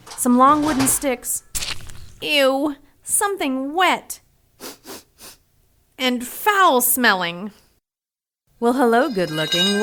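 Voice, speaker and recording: A woman's voice: medium pitch, fairly bright tone, slight background noise. A woman playing a plucky, sassy 1940s heroine who narrates her adventure in an audio drama with brash, wry slang. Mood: wry, pleased.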